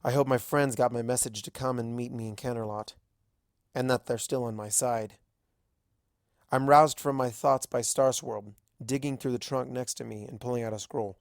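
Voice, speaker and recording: A man's voice: mid-range voice, steady tone, normal speed, vocal fry, no echo, studio quality. A man reading a story aloud as a first-person narrator, in scripted narration. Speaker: fan fiction reader.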